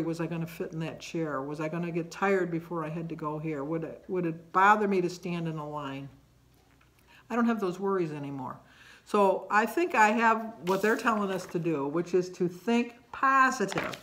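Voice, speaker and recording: Female vlogger, late 60s, mid-pitched voice; chatty, unscripted, rambling and self-deprecating. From United States. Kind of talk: casual personal vlog talk about daily life.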